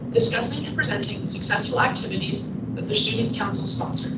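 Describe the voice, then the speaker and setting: A teenage girl reading a prepared report as a student representative at a board meeting, in a steady, even way. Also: mid-range voice. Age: teens. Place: large meeting room. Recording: echoey, distant, dull, noisy meeting stream.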